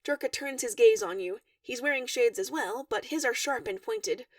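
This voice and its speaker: A woman reading a fan-written story aloud in an expressive narrating style. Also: on the high side, normal speed, no echo, clean studio sound, distant mic. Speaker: fan fiction reader.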